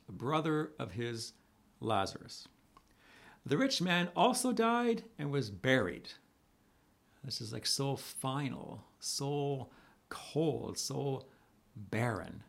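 A man with a raspy voice, casually teaching a gospel passage as a pastor, with chuckling asides. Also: medium pitch.

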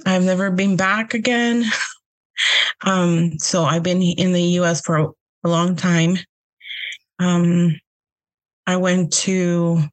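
A young woman with a Honduran accent talks slowly and unhurriedly as a podcast guest. She pauses often and leans on fillers, and laughter keeps slipping into her casual chat. Her pitch sits in an ordinary middle range.

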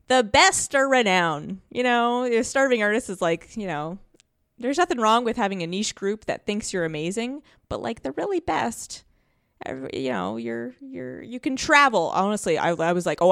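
Lady podcaster, super lively pitch, informal game talk, dry close sound. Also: gravelly, no echo. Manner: animated, informal. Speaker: podcast co-host. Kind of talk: casual video game discussion.

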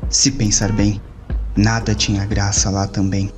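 A man's voice: on the low side, monotone, measured pace, lightly acted. A man acting in an audio drama as a jaded, slangy hacker loner in a cyberpunk city. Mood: brooding, longing. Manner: brooding, slangy. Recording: music behind the voice.

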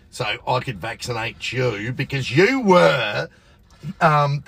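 A man's voice: medium pitch, vocal fry, a bit bright, breathy edge. An Australian man hosting a casual podcast, teasing his guest and swearing freely in joking banter. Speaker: podcast host. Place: radio booth.

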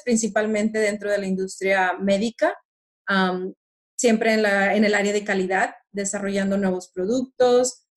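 A woman answering interview questions in a friendly, hesitant way, with frequent fillers and restarts. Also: medium pitch. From Monterrey, Mexico. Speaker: interview guest.

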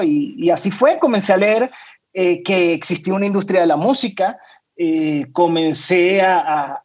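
Chatty Venezuelan man, high voice. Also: a little mellow. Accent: Venezuelan Spanish. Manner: talkative, repeats words while searching for them. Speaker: podcast guest, music executive. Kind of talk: casual interview chat.

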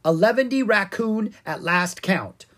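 A grumpy pumpkin-head king from a children's storybook, acted out by a narrator, pitched up and swooping with every boast. He is huffy, theatrical and full of his own importance, with a husky edge to the voice. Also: sing-song, a little slow. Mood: proud, bargaining. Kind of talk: animated children's storybook character reading.

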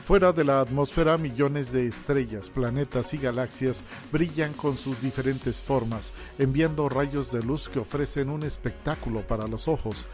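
A man's voice: medium pitch, normal speed, few pauses, a little mellow. A man with a Mexican accent reading scripted radio news reports. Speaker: news reader. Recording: music bed behind the voice. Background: music.

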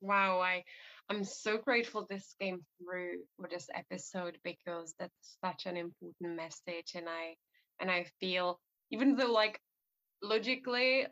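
A woman hosts a reflective interview, speaking earnestly and softly with lots of "you know" fillers. Her voice sits fairly low. The sound is dry and muffled, like lo-fi audio. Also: steady tone, unhurried, dry recording. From Czech Republic. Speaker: podcast host. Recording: dull lo-fi sound.

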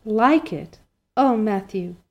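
A woman's voice: mid-range voice, expressive, slow, breathy edge, dull audio, full character voice. A woman voicing an imaginative, rapturous orphan girl who gushes with breathless enthusiasm, reading a storybook aloud.

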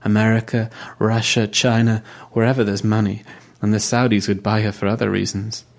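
A man's voice: fairly low pitch, flat delivery, moderate pace, creaky. A man voicing a practical, businesslike woman who speaks in a matter-of-fact way. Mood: brisk.

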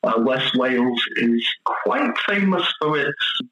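A man's voice: mid-range voice, very animated, slow pace, roomy sound, very noisy. A man with an English accent, a pastor answering interview questions in a friendly, chatty way. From east of England. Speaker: pastor, podcast guest.

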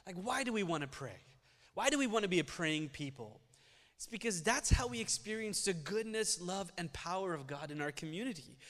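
A man's voice: sits high, fairly bright tone. Earnest young male pastor in reverberant church. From United States. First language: Malayalam. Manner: earnest, conversational, builds up energy while preaching. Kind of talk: sermon. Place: church sanctuary.

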